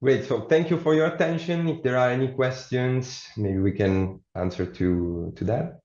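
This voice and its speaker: A man's voice: mid-range voice, normal speed, darkish tone. A man presenting admissions details in an online session, with frequent hesitations. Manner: hesitant, many fillers. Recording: echoey room, dull lo-fi sound.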